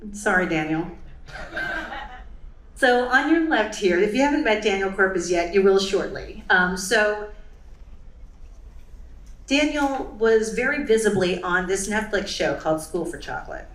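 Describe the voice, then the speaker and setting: A woman's voice: mid-range voice, lively intonation, unhurried, pauses often, recorded from a distance. Chatty woman speaking off-mic in echoey room. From United States. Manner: chatty, many "right?" and "you know". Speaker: event speaker. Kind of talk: presenting to a live audience.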